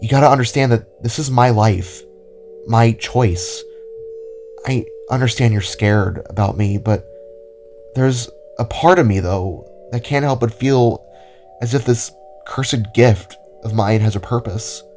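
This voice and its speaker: A man voicing an earnest, idealistic young woman with powers in an audio drama, speaking haltingly and hopefully. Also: mid-range voice, darkish tone, lightly acted. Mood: earnest, pleading. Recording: music under the voice.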